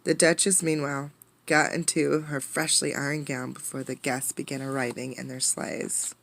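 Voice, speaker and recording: A woman reading a picture book aloud with dramatic, emphatic delivery, pausing to put questions to listeners. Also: extremely low pitch, flat delivery, vocal fry, fairly bright tone, a little hiss or hum. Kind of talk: expressive storybook read-aloud with questions to listeners.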